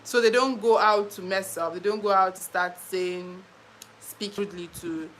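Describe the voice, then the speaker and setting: Young woman, Nigerian accent, playful chatty livestream host, bright tone, phone mic, slight background hiss. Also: mid-range voice, steady tone, moderate pace. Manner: chatty, playful, laughs often, repeats phrases. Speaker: live stream host. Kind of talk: casual live stream chat reading comments.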